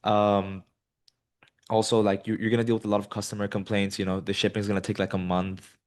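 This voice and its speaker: Young guy from colombia, low voice, rambly and relaxed, lots of um and you know. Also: brisk. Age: 20s. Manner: many ums and you-knows, relaxed and rambling. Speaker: guest, business student. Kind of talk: casual interview answers.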